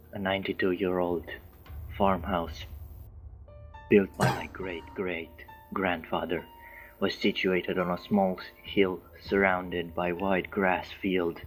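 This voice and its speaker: A man reading a story aloud as an amateur, stumbling over words and dropping in casual asides. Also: sits low. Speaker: story reader. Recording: music behind the voice. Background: background music.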